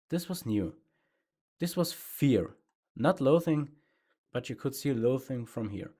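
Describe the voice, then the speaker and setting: A man reading fan fiction aloud as a first-person narrator. His reading is relaxed and conversational, with some mild swearing. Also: medium pitch. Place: home room with sound foam. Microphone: improvised home mic with pop screen.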